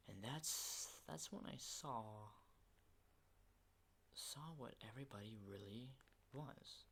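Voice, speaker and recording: A man playing an unhinged, menacing survivor of a zombie apocalypse in a halting, intimate ASMR roleplay, in breathy whispers. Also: bright, forceful tone, slight background noise, exaggerated voice. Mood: grim, bitter. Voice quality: breathy whisper with low creaky undertones.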